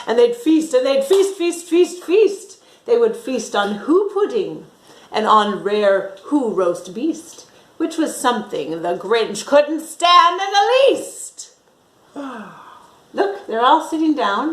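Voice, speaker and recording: Slow-paced woman storyteller in a roomy space. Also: fairly high pitch. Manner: expressive, asks young listeners questions, draws out words. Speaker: children's storyteller. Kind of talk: animated picture-book read-aloud. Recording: roomy echo.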